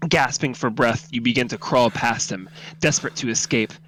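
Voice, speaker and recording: A man's voice: medium pitch, normal speed, a bit bright, a little hiss or hum, rough recording. A man reading a horror story aloud as narrator, dramatic, intense and suspenseful.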